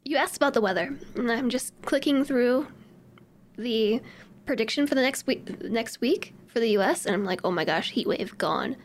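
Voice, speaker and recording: A woman streamer chatting on a podcast, upbeat and quick to laugh. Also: a little high, lively intonation. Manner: giggly, upbeat. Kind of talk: casual chat.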